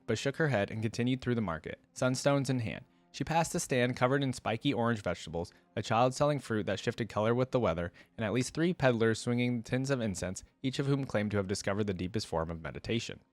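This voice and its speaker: A man hosting a reaction video, reading story text aloud and commenting in an informal, thinking-out-loud way. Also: mid-range voice, expressive, fast talker, few pauses, dry recording.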